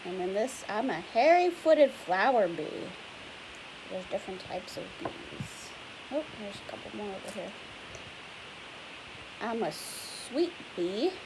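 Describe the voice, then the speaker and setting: A woman's voice: mid-range voice, sing-song, slow pace, long pauses. A young woman teacher reading a picture book aloud to children, chatty and explanatory, stopping often to ask questions. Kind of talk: read-aloud lesson for children. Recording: faint hiss.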